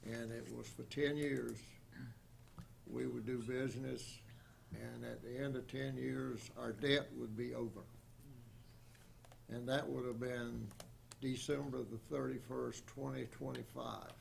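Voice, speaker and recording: A man chairing a town board meeting, speaking in a grave, deliberate way. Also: medium pitch, steady tone, vocal fry, slight background noise. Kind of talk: meeting discussion.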